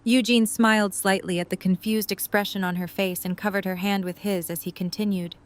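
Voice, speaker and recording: A woman's voice: medium pitch, fairly even intonation, fairly quick. A woman reading scripted third-person story narration as the narrator of an audio drama.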